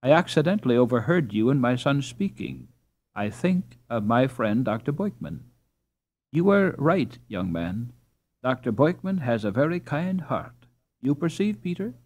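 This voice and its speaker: A man voicing a placid, dignified, kindly mother in a storybook, measured and courteous as she gently admonishes. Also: mid-range voice, very animated. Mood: gently correcting.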